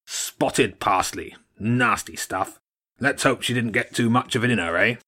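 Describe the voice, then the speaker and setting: A man's voice: mid-range voice, measured pace, sharp tone, breathy edge. A man voicing a stern, mocking old badger king in an audiobook story, with a blunt, commanding manner. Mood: grim. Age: older. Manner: blunt, scornful, commanding, short barked phrases.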